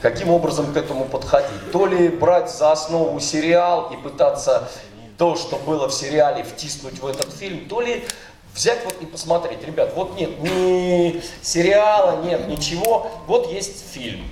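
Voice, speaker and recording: A man's voice: a little high, moderate pace, a little mellow. A middle-aged man giving an informal seminar talk on a stage with a handheld mic, loose and rambling, full of fillers and restarts. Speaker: seminar speaker, dubbing director. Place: stage of a large hall. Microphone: handheld mic, filmed from the audience. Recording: echoey hall, recorded from far off.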